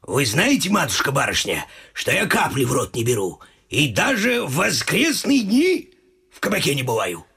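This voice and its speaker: A chatty, simple servant fellow in a theatrical radio play. His delivery swings up and down, with a gravelly creak and a bright, forward edge. He is eager, deferential and folksy. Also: a little high, wildly swooping pitch, normal speed, heavily acted. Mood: coaxing, worried. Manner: folksy, deferential, repeats a pet filler phrase. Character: talkative, simple-minded male manservant, eager and gossipy. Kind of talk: theatrical radio comedy acting with some singing.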